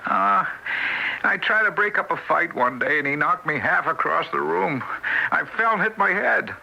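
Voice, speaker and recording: Timid old ghost, highish bright voice, stammering, apologetic, wistful, vintage radio drama. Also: male voice, creaky, muffled recording, slight background noise, full character voice. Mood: rueful.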